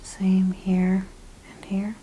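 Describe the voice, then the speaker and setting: A woman speaking softly, often in a breathy whisper, gently and carefully explaining each step as a chiropractor in an ASMR exam roleplay. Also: medium pitch, completely flat delivery, very slow, slight background noise, poor audio. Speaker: ASMR host playing a chiropractor examining a patient.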